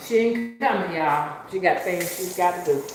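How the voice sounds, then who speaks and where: A woman with a soft but hoarse, congested voice, chatting casually and jokingly to her viewers as a spiritual reader. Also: lowish voice, animated, normal speed. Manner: rambling, joking, addresses viewers as y'all. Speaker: rootworker and spiritual reader hosting her channel. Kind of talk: casual chatty livestream talk. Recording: very echoey room, faint background hiss.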